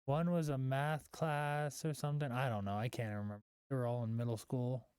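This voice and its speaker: A woman's voice: rumbling low, fairly even intonation, brisk, darkish tone, clear, resonant tone, dry recording. Blunt American woman, dry close recording. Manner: blunt, sweary, slangy. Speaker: podcast co-host. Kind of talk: casual chat.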